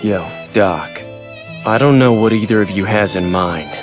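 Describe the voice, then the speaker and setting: Surly fighter, played by a man: low, blunt, dismissive, cynical, video game cutscene with music underneath. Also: a little slow, lightly acted. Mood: impatient, wary.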